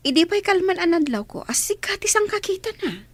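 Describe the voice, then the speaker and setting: A woman playing a caring friend who gently advises and encourages, in an Ilocano-accented radio drama. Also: very high voice, very animated, brisk, no room sound. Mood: encouraging.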